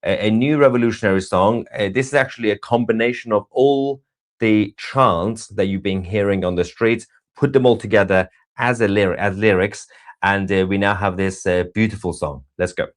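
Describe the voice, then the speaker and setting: Deep voiced guy doing live news commentary, kinda halting, stops mid sentence. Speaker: live stream co-host.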